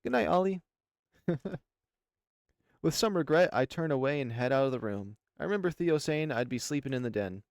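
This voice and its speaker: A man's voice: mid-range voice, lively intonation, moderate pace, no room sound, studio quality. A man reading a visual novel aloud as first-person narration for a channel. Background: music.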